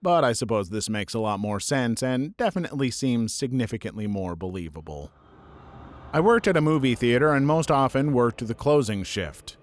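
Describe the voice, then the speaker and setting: A man reading online stories aloud as a narrator, at a steady, even pace. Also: medium pitch, normal speed, dry recording. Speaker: story narrator.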